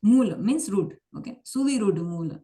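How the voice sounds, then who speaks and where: A woman's voice: medium pitch, animated, normal speed, dark tone. A woman teaching a verse class, repeating and restarting, mixing English and Hindi. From India. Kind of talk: online class explanation.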